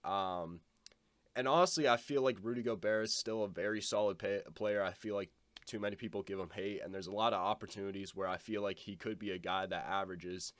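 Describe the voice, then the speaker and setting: A man's voice: fairly low pitch, steady tone, fairly quick, a bit bright, no echo. A young man with a stuffed-up nose giving a casual, blunt basketball opinion monologue, swearing now and then. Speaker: basketball commentator.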